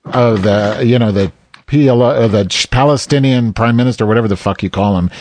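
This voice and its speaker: A man's voice: mid-range voice, a little fast, darkish tone, a little hiss or hum. A man co-hosting a casual comedic talk show, rambling and sarcastic, cursing freely.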